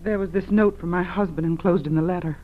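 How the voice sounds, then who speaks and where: A woman's voice: fairly low pitch, steady tone, fast, a little hiss or hum, natural voice. On a dull old radio recording, an actress plays an anxious wife of a kidnapped man. The woman speaks hesitantly and pleadingly, in the earnest manner of 1940s radio drama.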